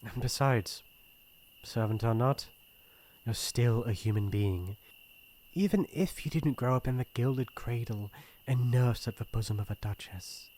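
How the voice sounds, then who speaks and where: A man with a British accent playing a shy, earnest young crown prince in an ASMR roleplay, speaking in formal, hesitant, gentle phrases. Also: mid-range voice, a little slow, a little hiss or hum, lightly acted. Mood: tender concern. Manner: formal courtly phrasing, hesitant and soft-hearted.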